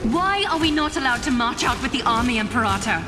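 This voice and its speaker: A woman's voice: fairly high pitch, expressive, fast talker, close to an everyday voice. A woman voicing an earnest follower who questions her ruler in a dramatic game. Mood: pleading. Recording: long hall reverb, music underneath.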